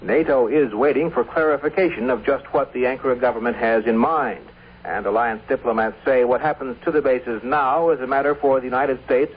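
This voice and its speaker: A man's voice: medium pitch, husky. A man filing a scripted foreign news report over a tinny line on vintage radio.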